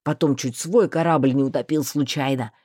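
A woman voicing a bluff, talkative male mage with a rough, folksy, rambling way of speaking. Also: extremely low pitch, normal speed, a little mellow, no echo, studio quality, voice pushed down.

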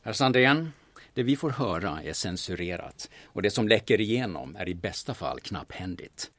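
An actor playing a brash, flirtatious man from the big city who talks in a smooth, cheeky, insinuating way in an audio drama. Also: on the low side, very animated, a bit bright, no echo. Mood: smooth, probing. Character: brash, flirtatious Stockholm man posing as auction-house buyer.

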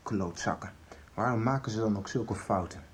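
A man's voice: fairly low pitch, moderate pace, slight background noise, lightly acted. A young male actor playing a headstrong, defiant teenage son in a radio soap, insistent and argumentative. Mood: defiant, frustrated. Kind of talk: 1990s Dutch radio soap acting.

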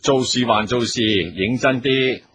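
A man's voice: medium pitch, a little slow, a bit bright, breathy edge, no room sound. A man playing a brash, worldly, teasing boss in a radio drama, with a swaggering manner. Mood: bossy. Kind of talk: Cantonese radio drama acting.

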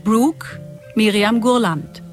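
A woman's voice: on the high side, slow pace. A woman announcer reading the credits of a radio drama in a formal, scripted way. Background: music.

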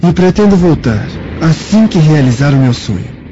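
A resolute but melancholy Roman officer talks formally with a Brazilian accent. The voice is dark and mellow, in radio drama style. It sounds slightly muffled. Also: male voice, on the high side, big pitch swings, moderate pace, a little hiss or hum. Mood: resigned, bitter. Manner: grave, formal.

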